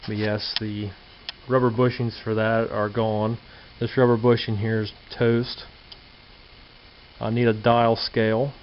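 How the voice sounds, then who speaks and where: A man's voice: on the low side, flat delivery, measured pace, long pauses. A man giving a casual, hands-on show-and-tell as a hobbyist presenter, musing aloud in a folksy way on a handheld camera mic in a workshop. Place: indoor home workshop or garage. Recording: dull lo-fi camcorder audio with hiss.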